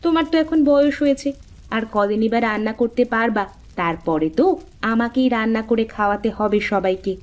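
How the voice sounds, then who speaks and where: A woman voicing a lively, bossy but caring young woman in a cartoon, with a chirpy, insistent manner. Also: on the high side, fairly quick, dull audio, lightly acted. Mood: chirpy, eager. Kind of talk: cartoon dialogue acting.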